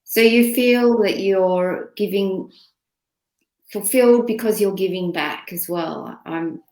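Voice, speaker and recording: A woman's voice: medium pitch, takes it slowly, darkish tone. Encouraging woman host in a roomy space. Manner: encouraging, asks questions with many fillers and restarts. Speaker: interview host. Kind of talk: hosting a casual interview. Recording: roomy, echoey room sound.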